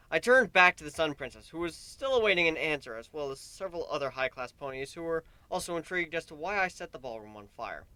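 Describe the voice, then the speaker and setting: Male story reader, very bright forceful tone, highish pitch, theatrical and exasperated, dry close sound. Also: clear, resonant tone, no echo. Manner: theatrical, exasperated delivery, swinging from hushed to shouted. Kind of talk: dramatic first-person story reading.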